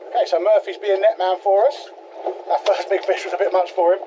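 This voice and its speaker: A man's voice: high voice, brisk, highs cut off. A man, coaching his family and chatting to camera while fishing from a small boat at sea. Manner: encouraging, coaching, excitable. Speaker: fishing boat skipper and vlogger. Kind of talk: casual on-boat coaching and commentary. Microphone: action camera on the boat. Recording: loud wind and engine noise on deck.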